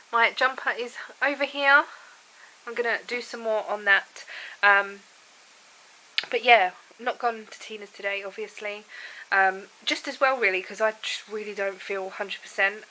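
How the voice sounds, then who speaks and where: A woman's voice: medium pitch, sharp tone, poor audio. A woman with a cold and a froggy, bunged-up throat, chatting casually and rambling to camera from her home. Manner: rambling and chatty, laughs often. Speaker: vlogger. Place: at home indoors.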